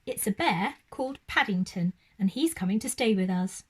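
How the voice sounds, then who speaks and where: A woman's voice: medium pitch, expressive, normal speed, a little mellow, breathy edge. A woman voicing a kind young girl with a friendly, reassuring way of talking.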